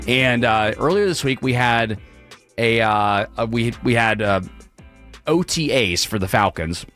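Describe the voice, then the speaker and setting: Young male radio host, voice going hoarse, clears throat a lot, dry booth, faint music bed. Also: medium pitch, a bit bright, no echo. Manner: apologetic, clears throat often, many restarts. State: hoarse, losing his voice. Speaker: radio talk show host. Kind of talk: late-night talk radio monologue. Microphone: studio broadcast mic.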